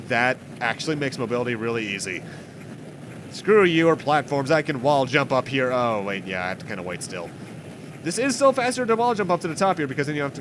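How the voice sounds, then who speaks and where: A man commentates casually over a video game he is playing. His mid-pitched voice moves up and down in an animated way as he rambles along with a slightly wry edge. Game music plays clearly behind him. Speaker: gaming commentator, host. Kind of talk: casual let's play commentary.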